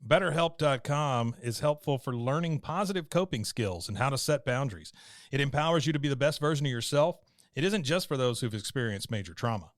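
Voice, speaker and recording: A man's voice: mid-range voice, no room sound, clean studio sound. A man delivering a scripted advertisement as an announcer. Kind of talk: scripted ad read.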